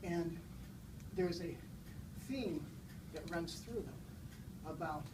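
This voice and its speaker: A man in his sixties speaking to a live group as a guest storybook reader, in an unhurried, halting way. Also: fairly high pitch. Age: 60s. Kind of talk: informal live introduction and children's storybook read-aloud. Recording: distant mic, noisy room ambience.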